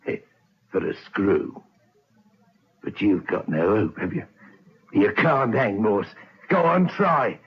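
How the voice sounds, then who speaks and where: An actor playing an insolent Cockney convict in a radio drama, a mocking lifer with a sardonic, cheeky manner. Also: male voice, fairly low pitch, big pitch swings, slow pace, pauses often, breathy edge, full character voice. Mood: jeering. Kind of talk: old radio drama acting. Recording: dull lo-fi vintage radio recording.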